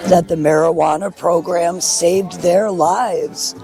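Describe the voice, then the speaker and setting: Female interview guest, middle-aged, hoarse, candid and earnest, music in the background. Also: on the low side, steady tone, takes it slowly. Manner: frank, earnest. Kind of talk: candid personal testimony. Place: at a festival in a park.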